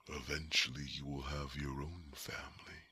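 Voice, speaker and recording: A man playing a huge, ancient dragon, tender and grieving, with a gravelly, breathy half-whisper and a solemn, halting delivery for an ASMR fantasy roleplay. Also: very deep voice, flat delivery, pushed and bright, full character voice. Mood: bittersweet, reflective. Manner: slow, solemn, halting, pensive.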